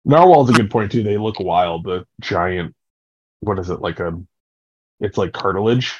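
A man's voice: fairly low pitch, moderate pace, darkish tone, slightly rough, no echo. A man co-hosting a podcast in casual chat, joking and playful. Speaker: podcast co-host. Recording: slightly dull, lo-fi dry recording.

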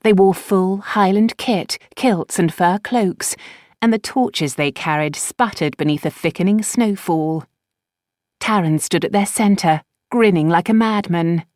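Woman reading an audiobook, lowish voice, expressive, close dry studio sound. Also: measured pace, dry recording. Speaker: audiobook narrator. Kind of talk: scripted fiction narration.

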